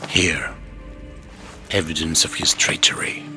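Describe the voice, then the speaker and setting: A very deep-voiced older man of authority in an ancient-world game drama. He is solemn and wary, weighing his words with care. Clear music plays behind him. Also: little pitch movement, measured pace, sharp tone, full character voice. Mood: grave. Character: grave, wary older male ruler of ancient Arcadia.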